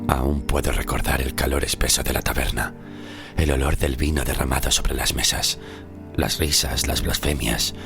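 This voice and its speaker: A man performs a grim, haunted sailor from centuries ago in a horror audio drama. His voice is extremely low and crackles with gravel, and he speaks in a solemn, brooding, deliberate way. Music plays clearly behind him. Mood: haunted, confessional. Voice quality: very deep, gravelly. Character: haunted poor 16th-century Castilian sailor, grim and fearful. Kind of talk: dramatic first-person horror audio fiction monologue.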